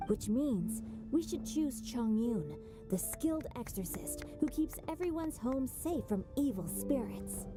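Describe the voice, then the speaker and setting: A woman playing a polite, earnest, well-bred youth in a video game, speaking in an articulate way. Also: a little high. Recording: music behind the voice. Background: music.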